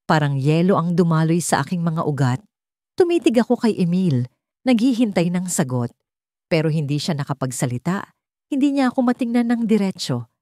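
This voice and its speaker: A woman's voice: lowish voice, warm tone, no echo, studio quality. A woman narrating a dramatic first-person romance story.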